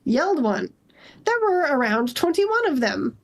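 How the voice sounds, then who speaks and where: A woman's voice: sits high, very animated, measured pace. A woman narrating a story live in a group reading, with casual asides.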